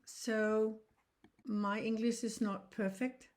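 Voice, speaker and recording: A Danish woman in her sixties, a reflexology teacher speaking English as a second language, explaining in an earnest, halting way with frequent restarts. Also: fairly high pitch, level tone, darkish tone. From Denmark. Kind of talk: informal online lesson.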